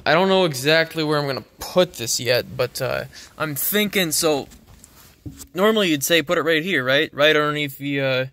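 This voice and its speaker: A man's voice: fairly high pitch, moderate pace, bright, forceful tone. A man giving casual, unscripted vlog commentary in a laid-back, rambling way, recorded on a handheld phone in a garage. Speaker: vlogger.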